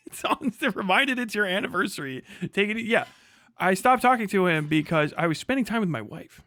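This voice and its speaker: A man's voice: high voice, expressive, moderate pace, bright, forceful tone, no room sound. A man doing casual, profane reaction commentary as a streamer, exasperated and emphatic, rambling as he argues.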